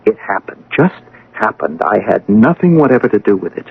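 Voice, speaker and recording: Casting: defiant, self-important scientist, low gravelly voice, resentful theatrical delivery, dull vintage radio sound. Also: male voice, big pitch swings, normal speed, exaggerated voice. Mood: bitter, defiant. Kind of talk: old-time radio drama acting. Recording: band-limited radio drama recording.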